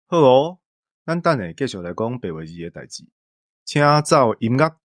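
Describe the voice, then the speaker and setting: Male podcast host, Taiwanese accent, mid-range pitch, explanatory talk, dry studio-like sound. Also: very animated, moderate pace, dry recording.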